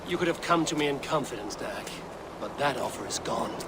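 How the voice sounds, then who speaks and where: An actor playing a stern, burdened Viking clan leader in a video game, terse and commanding. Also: male voice, mid-range voice, lively intonation, a little fast, background noise, natural voice. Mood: stern warning. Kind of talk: dramatic video game acting.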